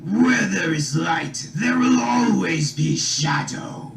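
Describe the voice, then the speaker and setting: Dark villain spirit, pitch-altered and demonic, grandiose slow delivery, gravelly, roomy sound. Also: male voice, lively intonation, recorded from a distance, over a noisy crowd, full character voice. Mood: ominous. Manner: slow, grandiose, ominous declaiming. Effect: pitch-shifted and layered, some echo.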